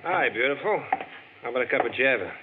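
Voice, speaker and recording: An actor playing a breezy, persuasive truck driver in a 1940s radio drama, slangy and easygoing. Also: male voice, medium pitch, expressive, fast talker, lightly acted. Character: smooth-talking male truck driver, Hal. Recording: tinny old radio, clear background hiss.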